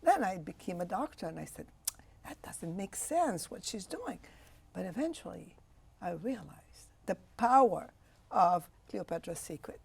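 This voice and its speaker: A woman's voice: on the low side, very animated, a little slow, vocal fry, a little mellow, husky. A woman in her sixties from Egypt, a physician answering interview questions with passionate, emphatic phrasing. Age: 60s. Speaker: physician guest.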